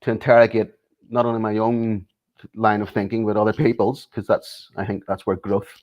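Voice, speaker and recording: A man with a lowish voice answers as a guest in a casual interview. He talks things through thoughtfully, pausing on ums and restarting his sentences as he works out what he wants to say. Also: level tone, highs cut off. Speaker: guest, martial arts instructor.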